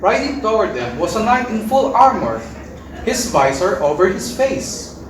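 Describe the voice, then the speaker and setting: A woman's voice: medium pitch, big pitch swings, moderate pace. A woman narrating a scripted fairy tale in a readers theater performance. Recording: very echoey room, distant mic, faint noise.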